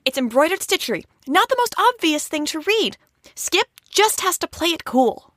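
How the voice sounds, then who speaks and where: A woman acts out an eager, harried helper in an audio comedy. Her voice is very high and bright, talkative and excitable, with animated swings and a dry, close sound. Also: moderate pace, no echo, full character voice. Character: frantic, eager, resourceful young female team member. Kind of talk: comedic audio drama acting.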